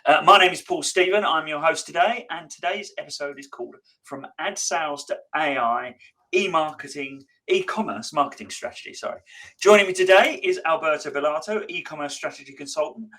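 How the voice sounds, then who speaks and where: A man's voice: fairly high pitch, fairly quick, pushed and bright, low-quality recording. A man hosting a live interview podcast, chatty and prone to stumbling restarts. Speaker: podcast host.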